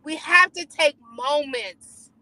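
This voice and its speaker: A woman's voice: high voice, slow, bright, forceful tone, low-quality recording. A woman co-host in casual motivational chat, speaking emphatically and repeating phrases for effect. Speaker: talk show co-host.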